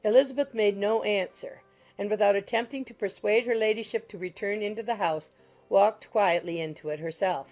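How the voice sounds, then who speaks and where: Creaky-voiced woman narrating a novel. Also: mid-range voice, normal speed. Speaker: audiobook narrator. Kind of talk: scripted literary narration. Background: soft music.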